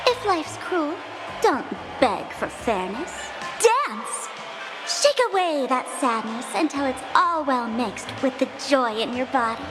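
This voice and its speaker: A woman's voice: sits high, sing-song, takes it slowly, heavily acted, rough recording. A woman voicing a cheerful, mischievous young tavern host in a video game, bubbly, showy and teasing. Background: game music.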